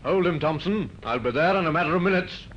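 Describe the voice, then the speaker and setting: An actor playing a courteous, quietly pressing police inspector in a 1950s radio drama. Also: male voice, fairly high pitch, animated, fast, lightly acted. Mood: brisk, firm. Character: calm, firmly insistent male police inspector. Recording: muffled, noisy old radio recording.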